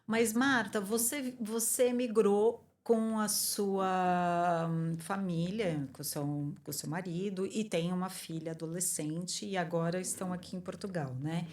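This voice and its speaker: Lower-voiced woman hosting an interview. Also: steady tone, a little mellow. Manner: encouraging, with quick approving interjections. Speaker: podcast host. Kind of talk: interviewing a guest.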